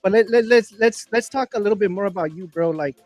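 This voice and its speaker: Male podcast host; high voice, slangy, casual, faint music behind. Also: quick pace, no echo. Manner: loose, slangy, says "bro" a lot. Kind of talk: casual chat.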